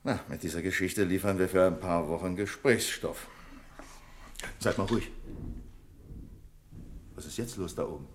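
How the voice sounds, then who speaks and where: An actor playing a proud, wry bank official in a radio play, dry and authoritative, quick to turn indignant. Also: male voice, low voice, background noise. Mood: urgent. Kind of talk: German radio play acting.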